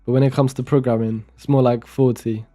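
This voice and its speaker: A young man from Romania talks his way through a coding session, reading lines of code under his breath and chatting between them. His voice is mellow and relaxed, at a middle pitch for a man. Faint music plays behind him. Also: fairly quick. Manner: muttering code aloud while typing, chatty asides. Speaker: programming streamer. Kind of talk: casual live-coding commentary.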